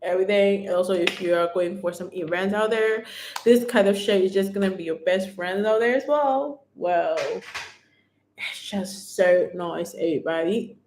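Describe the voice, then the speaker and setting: A woman with a Thai accent hosting a beauty livestream, chatting casually as she demonstrates products and pitches discounts. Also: moderate pace, darkish tone, slight background noise. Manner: chatty, keeps repeating "right here", upbeat sales talk. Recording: echoey room.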